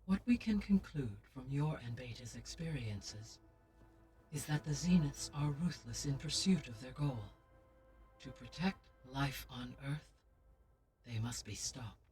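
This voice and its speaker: A calm artificial intelligence, voiced by a man, speaking in a formal, measured way. It sounds a little distant from the mic. Faint game music plays behind it. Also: medium pitch, lightly acted. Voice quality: measured, formal, even delivery. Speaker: game character.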